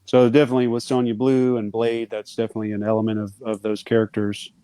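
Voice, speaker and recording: A man chatting as a panelist, tentative and often pausing to think as he goes. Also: on the low side, flat delivery, mellow, clear, resonant tone, no echo. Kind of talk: casual panel chat.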